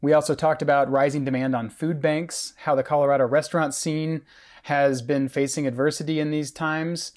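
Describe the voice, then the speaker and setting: A man's voice: fairly high pitch, flat delivery, normal speed, a little mellow. A man co-hosting a podcast, reading a scripted opening in an announcer-like way. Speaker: podcast co-host.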